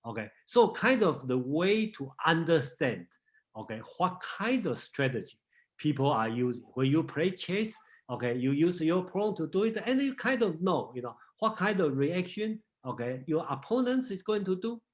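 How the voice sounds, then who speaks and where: A man's voice: a little high, big pitch swings, moderate pace. A man from Taiwan leading a casual group discussion, often repeating words and saying okay while he thinks. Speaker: discussion leader.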